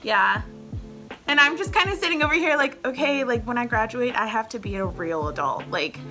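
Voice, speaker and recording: A woman's voice: fairly high pitch, animated, moderate pace, sharp tone, soft background music. A woman in her twenties chatting casually to camera at home as a vlogger host, rambling and filling her talk with "like". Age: 20s. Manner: chatty, rambling, heavy use of "like" fillers.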